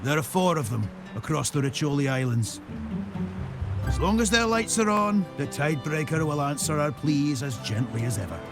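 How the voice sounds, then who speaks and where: An actor playing an eccentric, jovial old seafaring captain in a video game, gravelly and folksy. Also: male voice, a little high, normal speed, over loud music. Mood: folksy, upbeat. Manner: folksy, animated, swooping delivery. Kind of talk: video game character acting.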